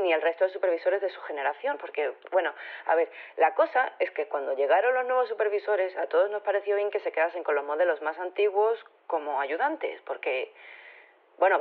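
Flustered teacher-activist lady calling into radio, thin phone sound, chatty, rambles and hesitates, earnest. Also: lowish voice. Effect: telephone filter. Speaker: phone-in interviewee. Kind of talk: radio interview in audio fiction. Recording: thin, narrow phone line.

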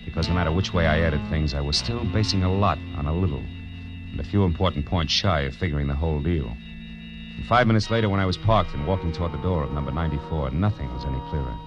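An American actor playing a tough, sardonic private detective in a vintage noir radio drama, with clipped, punchy delivery. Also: male voice, very deep voice. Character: hard-boiled wisecracking male private detective narrating his case. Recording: dull vintage radio recording with music.

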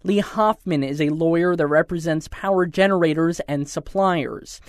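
A reporter reads a scripted radio news story in a clear, even voice at a middle pitch. The sound is close and dry, with no room echo. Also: moderate pace.

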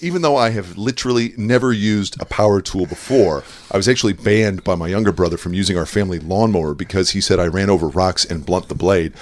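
Low-voiced guy reading his own blog out loud, totally deadpan. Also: quick pace, few pauses. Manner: dry deadpan reading, breaks into laughs. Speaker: podcast co-host.

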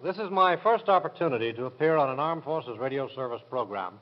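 A man's voice: mid-range voice, animated, fairly quick, a little hiss or hum. A man giving a formal 1940s radio address as a military commandant. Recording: muffled 1940s radio recording.

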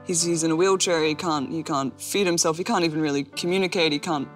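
A woman's voice: low voice, quick pace, faint music behind. A woman, answering interview questions in a chatty, self-deprecating way with lots of fillers. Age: 20s. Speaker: interview guest, athlete.

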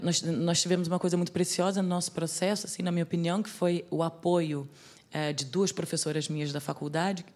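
A woman with a Brazilian accent worn smooth by years in Portugal talks with a lively storyteller's energy. Her voice sits somewhat low for a woman, and she speaks as a guest in a relaxed interview. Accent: Brazilian Portuguese, softened by life in Portugal. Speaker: theatre artist guest. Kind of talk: conversational storytelling.

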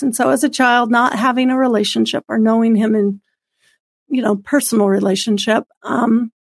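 A woman's voice: fairly high pitch, gravelly, no room sound, studio quality. A woman in her fifties giving an earnest, devout personal testimony as an interview guest. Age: 50s. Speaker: guest, author and homeschooling mother.

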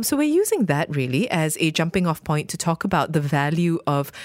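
Low-voiced radio host woman. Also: no room sound, clean studio sound. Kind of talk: radio talk show hosting. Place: radio studio.

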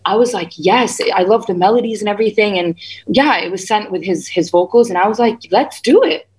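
A woman gives a casual radio interview as a singer-songwriter. Her delivery is effusive and full of slang, with an animated, storytelling way of talking at a middle pitch. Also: a little fast. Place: remote video call.

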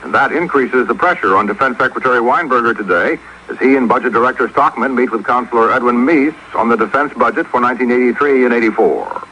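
A man's voice: mid-range voice, fast, bright, forceful tone, highs cut off, a little hiss or hum. An American man delivering a scripted radio report as a news correspondent.

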